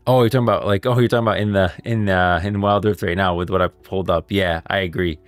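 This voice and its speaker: A man's voice: low voice, steady tone, fast, no room sound. A man giving casual, enthusiastic livestream commentary on game lore, speaking emphatically and in slangy language. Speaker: live streamer, lore commentator. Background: music.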